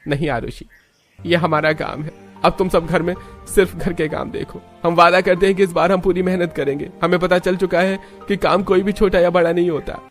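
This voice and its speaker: Man playing a sorry young village husband, really high voice for a guy, pleading, cartoon drama. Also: steady tone, brisk, a bit bright, faint music behind. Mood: earnest. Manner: earnest, pleading. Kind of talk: Hindi cartoon story acting.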